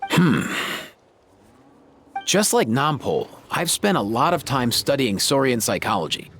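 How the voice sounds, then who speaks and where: An actor playing an easygoing young warrior in a game cutscene, talking in a relaxed, slangy way. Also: male voice, mid-range voice, measured pace, slight background noise. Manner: laid-back, slangy.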